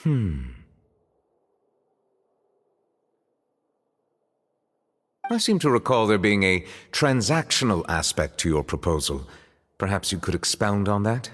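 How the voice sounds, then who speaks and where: An actor playing a dignified, reserved high official in a video game, with a grave, courteous and formal manner. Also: male voice, expressive, normal speed, a bit bright, long reverb tail, slight background noise. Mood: skeptical.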